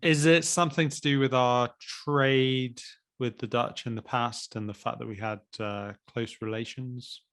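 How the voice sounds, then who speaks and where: Casting: relaxed male co-host, mid pitch, agreeable and brief. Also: a little slow. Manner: easygoing, agreeable, short replies. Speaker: podcast co-host and English teacher. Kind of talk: casual podcast chat. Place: London.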